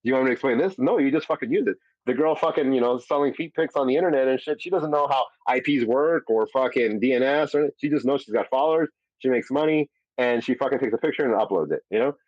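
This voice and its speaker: A man's voice: medium pitch, quick pace, hardly any pauses, dry recording. A man hosting a casual live audio show, talking in a rambling, profane way with many restarts, heard over a dull, lo-fi online audio chat. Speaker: host.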